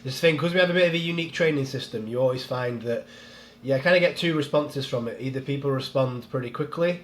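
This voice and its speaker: A man chatting casually as a podcast guest, a strongman coach with a head cold that makes him a little nasal, talking in a relaxed, rambling way. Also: mid-range voice, fast. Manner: relaxed, rambling, laughs easily.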